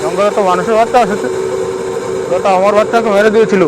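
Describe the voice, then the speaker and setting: A man playing a menacing, furious jinn of the jungle who makes loud threats in an amateur comedy horror skit. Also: high voice, fairly even intonation, racing, heavy background noise, close to an everyday voice. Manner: threatening, repetitive shouting.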